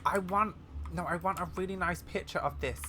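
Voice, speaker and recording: A woman giving excitable, chatty playthrough commentary on a video game, with gushing asides. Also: on the low side, fairly quick. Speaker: gaming video host. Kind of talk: excitable playthrough commentary mixed with reading game dialogue aloud. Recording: home recording with keyboard clicks and noise.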